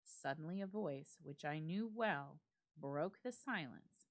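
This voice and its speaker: Woman, lowish voice, very lively intonation, dramatic story reading, measured phrasing, deliberate pauses. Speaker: library staff member reading a story aloud.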